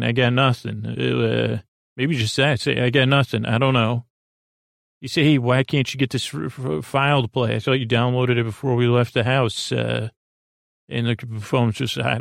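A man with a creaky voice hosting a sleep podcast, meandering haltingly through a bedtime monologue and trailing off mid-thought. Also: medium pitch, no echo, studio quality. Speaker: podcast host. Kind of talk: rambling bedtime monologue to help listeners sleep.

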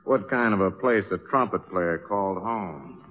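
An actor playing an easygoing American musician who narrates, wry and conversational, in 1950s radio drama style. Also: male voice, deep voice, moderate pace, slight background noise, lightly acted. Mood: wry, storytelling. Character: easygoing male musician pal who tells the story. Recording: tinny old radio broadcast, loud music.

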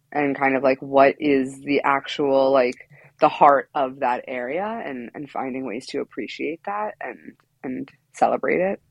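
A woman's voice: very deep voice, no echo. Giggly New woman guest. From New York. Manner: playful, giggly, rambling. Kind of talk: casual comedic podcast chat.

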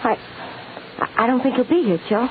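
An actress plays a scared young woman in an old radio mystery. Her high voice falters nervously. The recording is tinny with faint noise. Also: expressive, moderate pace, natural voice. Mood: frightened. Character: frightened young woman mixed up with gangsters. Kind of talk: 1940s radio acting.

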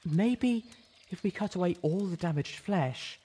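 A man voicing a caring female pony healer who speaks softly and reasons firmly. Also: sits high, lively intonation, unhurried, husky, pitched above the performer's own voice, full character voice. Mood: gentle, firm. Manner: soft, reasoning, sometimes stern.

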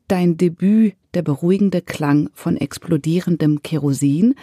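A woman's voice: on the low side, dark tone, no room sound, clean studio sound. A woman from Switzerland, hosting a literary podcast and asking prepared questions. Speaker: podcast host.

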